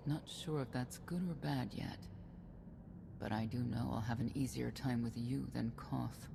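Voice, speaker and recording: An actress playing a self-assured, candid woman knight in a game, composed and measured. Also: a bass voice, fairly even intonation, gravelly, noisy background, heavily acted. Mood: resolute, guarded. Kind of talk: video game cutscene acting.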